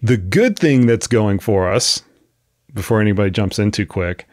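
A man's voice: lowish voice, animated, normal speed, darkish tone, no room sound, studio quality. A man hosting a podcast with a cold and a sniffle, explaining at length in a casual, thinking-aloud way with frequent restarts. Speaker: podcast host, coach. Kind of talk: casual expert discussion.

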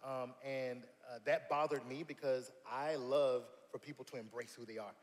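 A man from South Carolina, a worship leader talking earnestly as a guest on a church stage, often pausing and restarting. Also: mid-range voice, fairly even intonation. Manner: earnest, many fillers and restarts. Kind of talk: casual interview chat. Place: church stage, large hall. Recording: long hall reverb, faint noise.

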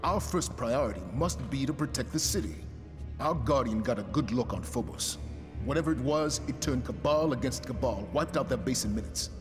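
A man's voice: medium pitch, expressive, moderate pace, lightly acted. An actor playing a stern male commander, formal and commanding, in a game cutscene. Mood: grave. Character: stern male commander, serious, duty-bound. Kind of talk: video game cutscene acting. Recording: music under a long reverb.

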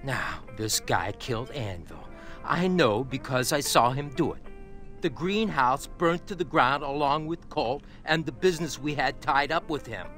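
An actor playing a condescending, menacing biker gang lieutenant in a video game, commanding and full of contempt. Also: male voice, mid-range voice, animated, a little slow, fairly bright tone, faint music behind. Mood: cold menace. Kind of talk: video game cutscene acting.

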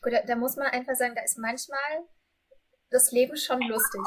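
A woman's voice: high voice, fairly even intonation, fairly quick. A woman in her thirties hosting a casual interview over a video call in an informal, agreeable manner. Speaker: interviewer, host. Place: room with bookshelves, indoors.

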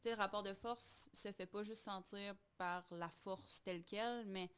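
A woman's voice: mid-range voice, steady tone, moderate pace, clear, resonant tone. A young woman with a Quebec French accent, talking in a tentative, reflective way in a casual group discussion on a studio mic. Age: young adult. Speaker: podcast panelist. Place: small podcast studio. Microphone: close studio microphone on boom arm.

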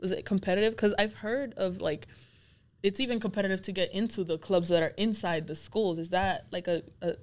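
A young woman with a mid-range voice hosts a studio podcast in an upbeat, chatty way. She asks questions into a desk microphone and fills her guest's pauses with encouraging murmurs. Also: medium pitch, steady tone. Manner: upbeat, many "mm-hmm" backchannels. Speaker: podcast host. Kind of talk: casual podcast interview. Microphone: desk podcast mic with headphones.

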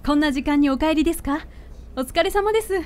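Woman acting high-voiced, polite young music student. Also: fast, a little mellow, natural voice. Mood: polite, gentle. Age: young adult. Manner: polite, composed, direct. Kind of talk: radio drama acting.

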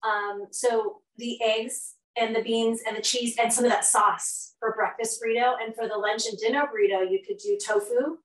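A woman's voice: on the high side, fairly even intonation, normal speed, hardly any pauses. A middle-aged woman leads a live cooking class in her home kitchen, chatting and hurrying as she cooks. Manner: chatty, upbeat, many fillers while cooking, moves around the stove. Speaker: nutrition educator hosting a live cooking class. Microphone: laptop or fixed camera across the kitchen. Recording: roomy kitchen sound from a distance.

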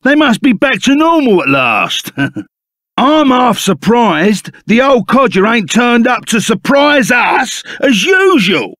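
A man voicing a big, gruff, good-hearted bandit sidekick in a video game, with a gravelly Cockney accent and a blunt, chummy way of talking. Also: very high voice, sing-song, slow, a bit bright, no room sound, studio quality, full character voice.

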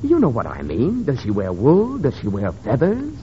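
An actor playing a self-important, formal doctor questioning patients in a 1930s radio comedy. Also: male voice, mid-range voice, wildly swooping pitch, normal speed, mellow. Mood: probing. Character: self-important, patient but easily interrupted psychoanalyst doctor. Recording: muffled, hissy 1930s radio recording.

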